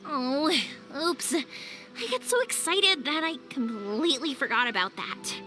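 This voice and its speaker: A kind-hearted young fireworks maker for an animated game. Her voice sits high and swings widely in pitch. She gushes and exclaims in a friendly, open way, with music playing underneath. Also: unhurried. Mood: sheepish. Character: bubbly, kind-hearted young woman who makes fireworks. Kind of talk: animated video game voice acting.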